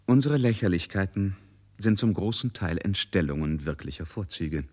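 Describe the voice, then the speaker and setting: A man narrating a literary radio drama with wry, measured, ironic commentary. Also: sits low, animated, a little mellow, slightly rough. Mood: measured, knowing.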